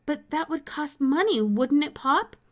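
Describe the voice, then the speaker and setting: A woman's voice: sits high, expressive, unhurried, pitched above the performer's own voice. A woman voicing an eager, earnest boy in a storybook read-aloud, exclaiming as she goes. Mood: pleading. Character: eager, excitable boy from the country.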